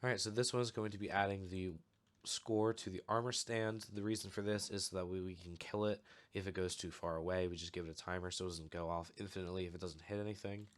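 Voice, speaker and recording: A young man recovering from a cold, calmly walking through a step-by-step game tutorial with a slightly off voice. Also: sits low, fast talker. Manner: relaxed, explanatory, steady walkthrough with fillers. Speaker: channel host giving a game tutorial. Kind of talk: casual screen-recorded tutorial.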